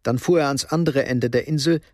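A man narrating a radio crime drama in a measured, matter-of-fact way. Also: mid-range voice, darkish tone, slight background noise, natural voice. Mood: sober. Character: sober third-person narrator of a crime story.

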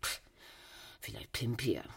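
A woman's voice: slow pace, natural voice. A woman acting a sardonic, languid 1920s Parisian prostitute in a radio play, drawling and dismissive. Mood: scornful recounting.

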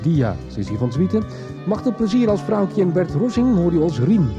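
Lively male radio announcer over music. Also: high-pitched, normal speed, dull audio. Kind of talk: scripted radio credits narration. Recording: music clearly behind.

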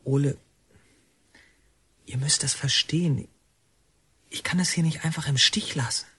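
Earnest, stubborn young male inmate for radio drama; husky and deliberate. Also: on the high side, fairly even intonation, sharp tone, exaggerated voice. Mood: terse, guarded. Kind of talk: German radio drama acting.

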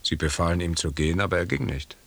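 Low, husky, brusque lieutenant. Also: male voice, quick pace, a bit bright, exaggerated voice. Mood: defensive. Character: curt, hard-handed expedition lieutenant. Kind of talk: German radio drama acting.

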